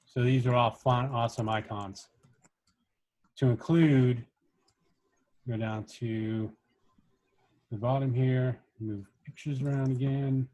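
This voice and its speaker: A man instructing a workshop, explaining things step by step in an informal, halting way as he shares his screen. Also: medium pitch, fairly even intonation, mellow. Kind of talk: casual screen-share coding tutorial.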